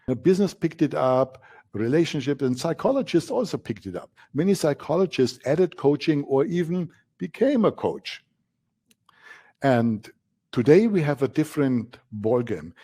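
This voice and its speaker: A man's voice: fairly high pitch, big pitch swings, moderate pace, dark tone, breathy edge. A man from Germany with a German accent, presenting a coaching masterclass as the instructor and stressing key words by repeating them.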